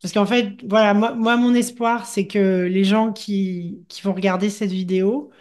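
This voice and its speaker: A woman hosting an interview, asking gentle, searching questions with frequent restarts. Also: medium pitch, fairly even intonation, a little slow. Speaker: interviewer, host. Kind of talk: interview conversation.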